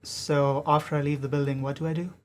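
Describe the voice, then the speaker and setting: Guy playing a lost student, polite, quick higher voice. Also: little pitch movement, natural voice. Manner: polite, asking for help. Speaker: student in acted skit.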